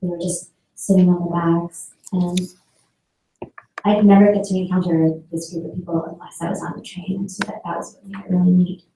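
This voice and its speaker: A woman photographer telling stories from her travels in a relaxed way, with frequent fillers. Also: fairly low pitch, brisk, a little hiss or hum. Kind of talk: personal talk about her work. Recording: echoey hall, heard from a distance.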